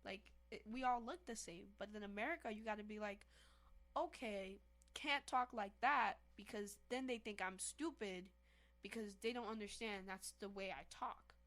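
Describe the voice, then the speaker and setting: A woman's voice: medium pitch, moderate pace. A young woman from Haiti co-hosting a podcast in casual, emphatic chat, often calling out to listeners. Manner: animated, emphatic, addresses listeners as y'all. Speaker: podcast co-host.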